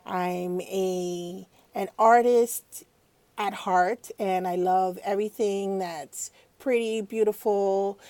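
A woman's voice: medium pitch, warm tone, no room sound. A woman co-hosting a podcast in playful, chatty conversation. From Nigeria. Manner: chatty, playful, quick to laugh. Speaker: podcast co-host. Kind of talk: casual podcast chat.

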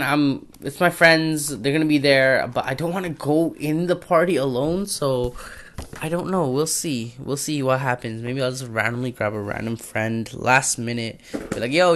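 A man's voice: medium pitch, moderate pace, fairly bright tone. A young man talking through his plans in a casual audio diary, rambling and thinking aloud. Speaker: podcaster.

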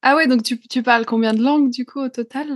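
A woman's voice: sits high, steady tone, fast, dry recording, clean studio sound. A woman hosting a podcast interview, asking friendly questions with many fillers. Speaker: podcast host. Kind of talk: casual interview chat.

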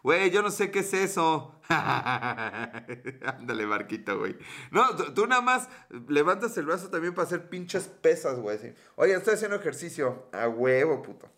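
A man's voice: fairly high pitch, big pitch swings, moderate pace, pushed and bright. A man with a Mexican accent chatting casually on a livestream, greeting viewers and joking around with crude slang. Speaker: streamer. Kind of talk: casual livestream chat, reading viewer comments.

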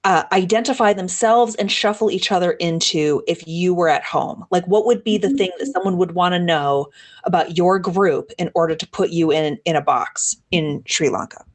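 A woman's voice: lowish voice, normal speed. A woman co-hosting a podcast, curious and asking probing follow-up questions in a casual way. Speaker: podcast co-host.

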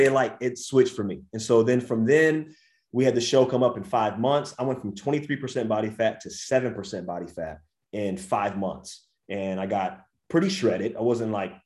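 Young american dude on a podcast, slangy and animated, kinda roomy echo. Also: male voice, fairly low pitch, a little fast. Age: 20s. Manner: animated storyteller, slangy, says dude and bro. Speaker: guest, vegan coach. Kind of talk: casual podcast interview.

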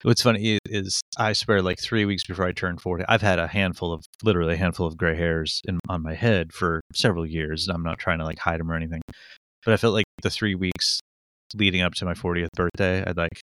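Man in his forties, very deep voice, dry close sound, casual rambling co-host chat. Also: steady tone, a little fast, fairly bright tone, no room sound. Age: 40s. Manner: rambling, self-mocking, many fillers and restarts. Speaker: podcast co-host. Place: Connecticut. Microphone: studio microphone.

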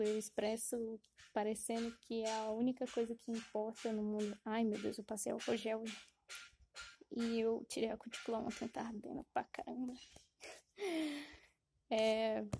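Gravelly, mellow-voiced woman podcaster. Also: on the high side, a little hiss or hum. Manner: rambling, self-correcting, with frequent fillers; self-deprecating and laughing at herself. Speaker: podcast host. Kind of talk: casual solo podcast monologue.

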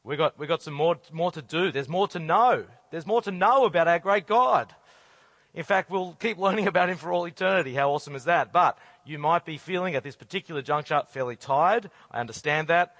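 A hoarse, croaky man preaches to a crowd, firing off earnest rhetorical questions. His voice sits a little higher than most men's and sounds close and dry, with no room echo. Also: lively intonation, a little fast, fairly bright tone. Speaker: conference speaker. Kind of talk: sermon.

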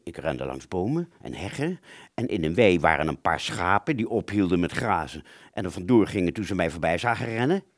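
A man acts out a wounded airman in a radio drama, confused and anxious. His speech stumbles and halts, with pitch that moves restlessly up and down. The sound is very dry, with no room around it. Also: darkish tone, no room sound. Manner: agitated, stammering, halting. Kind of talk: Dutch radio drama, first-person narration and dialogue.

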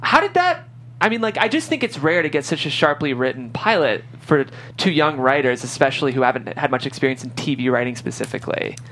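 A woman's voice: very deep voice, lively intonation, fairly quick, fairly bright tone, a little hiss or hum. A woman hosting a show, reading script directions and interviewing writers. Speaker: show host and script narrator.

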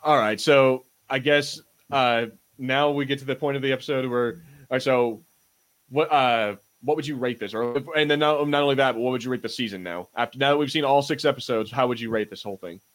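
Man, podcast host, casual review chat, informal, mildly sweary, medium pitch. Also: brisk, fairly bright tone.

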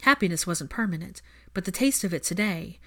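A woman narrates fiction from a script. Her voice sits a little low for a woman, in the style of an audiobook reading. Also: expressive, a little fast, bright, forceful tone.

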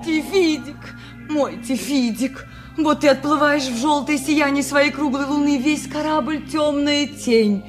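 An actress playing a devoted, naive maidservant in love with a sailor, speaking in a dreamy, sentimental radio play manner. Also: female voice, high-pitched, measured pace, over music. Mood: wistful, tender. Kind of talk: Soviet radio play acting.

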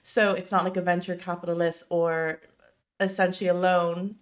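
Woman, slightly low pitch, casual podcast chat, informal explanations, thinking out loud. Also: level tone, normal speed. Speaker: podcast speaker, business owner.

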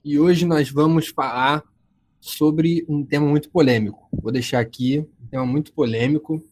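A man's voice: fairly high pitch, steady tone, normal speed, darkish tone. A man of twenty hosting a casual podcast from home, joking and teasing his friends. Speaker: podcast host.

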